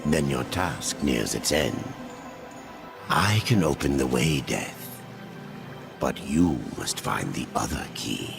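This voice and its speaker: A solemn ancient guide. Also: male voice, extremely low pitch, animated, exaggerated voice. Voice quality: gravelly. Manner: solemn and measured. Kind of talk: video game cutscene acting. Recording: cutscene with loud music behind.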